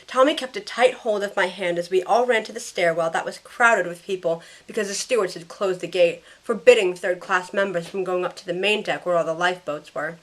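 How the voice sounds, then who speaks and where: A woman's voice: fairly low pitch, a little fast, hardly any pauses, fairly bright tone, low-quality recording. A woman playing a caring young heroine who narrates her own ordeal in a scripted first-person audio drama. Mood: anxious narration.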